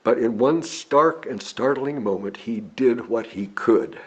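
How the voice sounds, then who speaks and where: A man reads a written sermon aloud in a measured, deliberate, oratorical way, with a husky voice. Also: mid-range voice. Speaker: lay preacher reading a written sermon. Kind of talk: sermon read from a script. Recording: dull, lo-fi recording.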